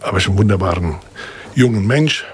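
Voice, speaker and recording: A man's voice: low-pitched, expressive, fairly quick, slightly rough. A man hosting a casual radio interview, chatty and playful, whose first language is Italian. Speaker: radio host.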